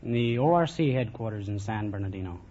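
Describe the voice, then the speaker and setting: A man's voice: lowish voice, lively intonation, fast talker, warm tone. An American man in his twenties, a quiz contestant giving brief, matter-of-fact answers on an old radio show. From California, United States. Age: 20s. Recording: dull vintage radio, faint hiss.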